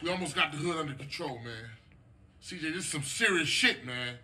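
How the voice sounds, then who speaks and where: A man's voice: fairly high pitch, a little fast, sharp tone. An actor playing a stern, hot-tempered street gangster in a video game, preachy and scolding in slangy speech, fiercely loyal to his neighborhood. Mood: commanding. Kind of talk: dramatic game cutscene dialogue with street slang.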